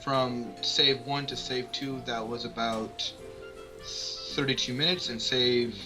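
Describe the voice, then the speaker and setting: A man's voice: medium pitch, steady tone, slow pace. Casual man with game music behind him. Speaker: co-host of a game playthrough. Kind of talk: casual playthrough chat and read-aloud.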